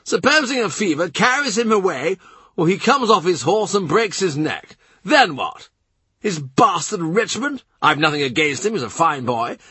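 A man voicing a panicky, lecturing older nobleman who speaks in excited, emphatic bursts. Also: high-pitched, moderate pace, husky, dry recording, pitched above the performer's own voice, exaggerated voice. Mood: agitated, hectoring. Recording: dry close recording.

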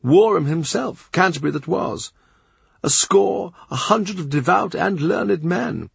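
A man's voice: sits high, lively intonation, a little slow, gravelly, breathy edge, no room sound, pitched above the performer's own voice, exaggerated voice. A man voicing a frail, elderly male bishop who speaks mildly and sadly in his own defence. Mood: mild, earnest. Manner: mild, pleading, sad. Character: frail old male bishop, mild and stubborn. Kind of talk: audiobook character reading.

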